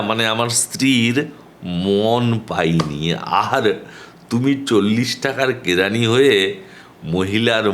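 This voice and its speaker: A man voicing a proud, solemn magistrate and writer who teases dryly in a slow, deliberate, deep voice. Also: a bit bright.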